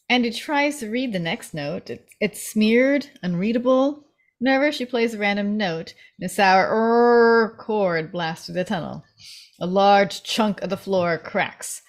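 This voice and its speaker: A woman reading stage directions as narrator in a scripted table read. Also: mid-range voice.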